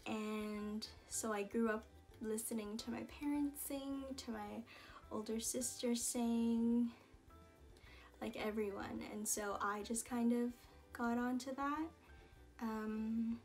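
A young woman from Canada, a singer answering viewer questions in a casual, chatty vlog with relaxed fillers and asides. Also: a little high, slow, long pauses, faint music behind. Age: young adult. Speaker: singer and vlogger. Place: small indoor room against a plain wall. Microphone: camera in front at head-and-shoulders framing.

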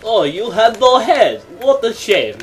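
A young man with a fairly high, very lively voice banters over a video game. He complains jokingly and is quick to yell, with noisy game sound behind him. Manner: excitable, jokey complaining, prone to yelling. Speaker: gaming video co-host. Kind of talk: casual gameplay banter.

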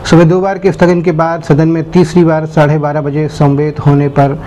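Higher-pitched male news presenter, noisy recording. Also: little pitch movement, normal speed, warm tone. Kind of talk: scripted news bulletin reading.

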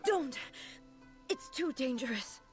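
A woman's voice: sits high, animated, slow. A woman playing a proud, stoic warrior goddess in a game, formal and composed. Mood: weary, restrained. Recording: loud game music behind the voice.

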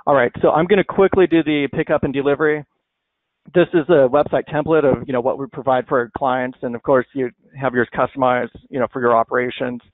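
A man presenting a software walkthrough in a webinar, talking casually with fillers and restarts. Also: mid-range voice, steady tone, fast, dry recording. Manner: conversational, many fillers and restarts. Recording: dry tinny phone-like audio.

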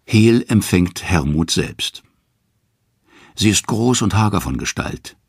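A man narrating a mythic tale in dramatic, scripted storytelling style with a gravelly, husky voice. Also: low voice, lively intonation, slow pace, no room sound, studio quality.

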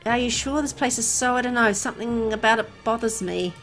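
A woman's voice: on the high side, brisk, a bit bright, pitched above the performer's own voice, poor audio. Casting: woman playing hesitant young girl, horror game, nervous and unsure, music underneath. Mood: uneasy. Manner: questioning, unsure.

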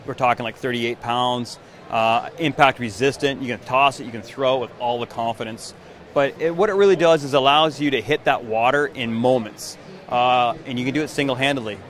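A man in his forties talks eagerly about the boats his company builds. He speaks into a handheld mic held out by an interviewer at an indoor trade show booth. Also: a bit bright. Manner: enthusiastic sales pitch. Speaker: canoe maker.

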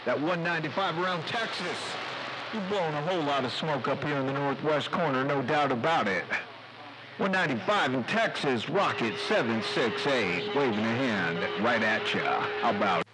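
A man's voice: mid-range voice, animated, normal speed, loud music behind the voice, low-quality recording. A man chatting as a CB radio operator, using colorful folksy slang over the air. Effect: CB radio transmission. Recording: loud static on CB radio.

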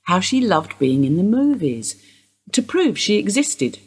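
A woman acting in a radio play as a weary, evasive older wife under suspicion, husky and rambling in an understated way. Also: mid-range voice, big pitch swings, a little slow, a little mellow. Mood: wistful, evasive.

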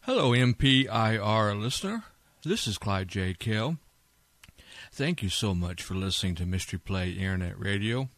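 A man hosting a podcast, delivering a scripted intro and appeal in a deliberate, earnest announcing manner. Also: fairly low pitch, very animated, a bit bright. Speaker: podcast host and internet radio operator.

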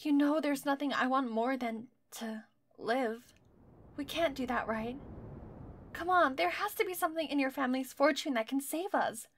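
A woman's voice: high voice, moderate pace, slight background noise. A woman playing an anxious, weary but loyal young survivor in an audio drama, earnest and pleading. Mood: soothing, pleading.

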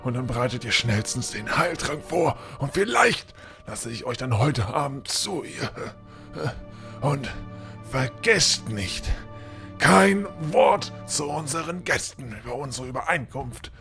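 A man playing a menacing, condescending castle lord with halting false courtesy in a fantasy audio drama. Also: medium pitch, very animated, vocal fry, pushed and bright, soft background music, full character voice. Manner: halting, drawling false courtesy with threatening undertone. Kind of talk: fan-made fantasy audio drama acting.

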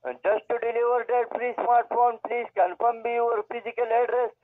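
A man's voice: very high-pitched, flat delivery, brisk, no echo. A man working as a telemarketer, reading through a scripted sales call over the phone. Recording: dull, dry phone call audio.

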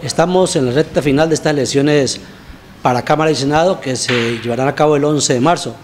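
A man's voice: on the high side, darkish tone. Middle-aged Colombian male interviewer. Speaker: interviewer, host. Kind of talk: local TV news interview. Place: room with brick wall, seated on sofa. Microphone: handheld interview microphone.

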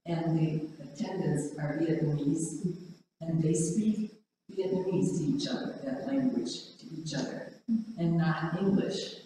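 A woman who speaks Norwegian natively, sharing haltingly in a group discussion and searching for words. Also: low-pitched, mellow. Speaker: participant in a prayer vigil. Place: large room at a spirituality center. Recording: echoey hall, distant mic, faint noise.

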